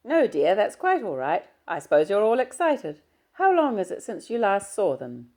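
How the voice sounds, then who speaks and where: A woman's voice: medium pitch, wildly swooping pitch, normal speed, darkish tone, voice pushed up. A woman reading aloud and voicing a kindly older lady employer, with a polite, motherly manner.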